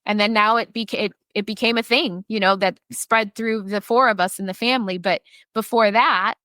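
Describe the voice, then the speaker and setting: A woman's voice: mid-range voice, fairly even intonation, normal speed, sharp tone, no room sound. A woman guest on a podcast, telling personal stories in a frank, talkative way and swearing casually.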